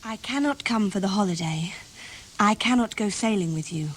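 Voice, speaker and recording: Woman acting a young daughter, timid, apologetic, hushed breathy delivery, radio drama. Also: mid-range voice, moderate pace, lightly acted, rough recording. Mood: regretful.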